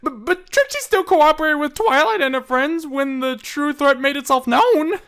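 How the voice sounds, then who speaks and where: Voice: high voice, expressive, normal speed, a bit bright, voice pushed up. An amateur reader voicing a proud, defensive young showmare who stammers indignantly, in a fan fiction reading. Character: proud, flustered young showmare, defensive, speaks in third person.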